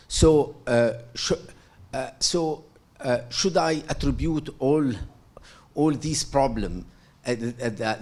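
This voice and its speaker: A man's voice: medium pitch, darkish tone. Hesitant middle-aged man asking a question. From Syria. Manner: hesitant, many fillers and restarts, earnest. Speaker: audience member asking a question. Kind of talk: halting question from the floor.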